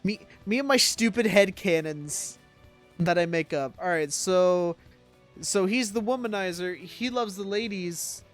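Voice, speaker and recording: A woman's voice: fairly low pitch, unhurried. A young adult woman giving casual, jokey live commentary on a video game, full of rambling asides. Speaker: game streamer. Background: video game music.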